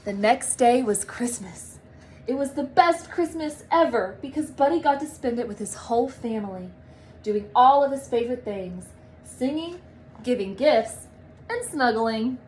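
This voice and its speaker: A woman reading a children's storybook aloud in an expressive, emphatic manner. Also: a little high, a little slow, a little hiss or hum, poor audio. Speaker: storybook reader, teacher.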